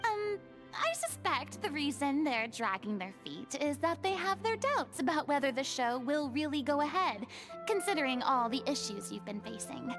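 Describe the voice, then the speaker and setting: A woman voicing a flamboyant, dramatic young woman in a game cutscene, speaking in a theatrical, excitable way. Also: high voice. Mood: flustered. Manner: theatrical, excitable, drawn-out delivery. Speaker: game character. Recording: game cutscene audio with music.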